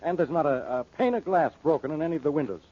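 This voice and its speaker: Gruff, exasperated police inspector, muffled sound. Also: male voice, on the high side, lively intonation, fast talker. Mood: curt, suspicious. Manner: curt, blustering, sarcastic. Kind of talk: 1940s radio drama acting. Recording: muffled, band-limited 1940s radio recording.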